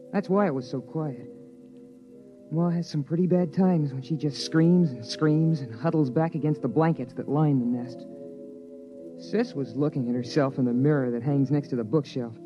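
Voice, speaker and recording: An actor playing a curious young son who narrates plainly and earnestly, in a 1950s radio drama. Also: male voice, on the high side, natural voice. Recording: dull 1950s radio broadcast with music.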